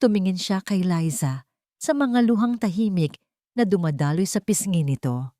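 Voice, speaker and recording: A woman's voice: lowish voice, expressive, normal speed, mellow, no echo. A woman narrator telling a scripted story in a dramatic storytelling style, recorded close.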